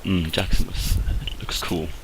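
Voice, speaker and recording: A young Swedish man, not a native English speaker, doing casual video game commentary with a Swedish accent, hesitant and full of fillers. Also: unhurried. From Sweden. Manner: hesitant, lots of fillers and restarts. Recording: clear background noise with clicks.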